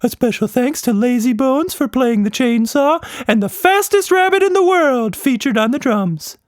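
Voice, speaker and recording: Very high, zany monster. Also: male voice, lively intonation, normal speed, no echo, studio quality. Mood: showy, grateful. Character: Quatch Monster, zany friendly magical monster. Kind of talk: children's storybook character voice.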